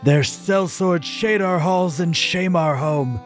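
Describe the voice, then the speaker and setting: A man's voice: sits high, slow pace, faint music behind. A man playing a woeful medieval subject pleading to his queen in grave, dramatic mock-Shakespearean verse.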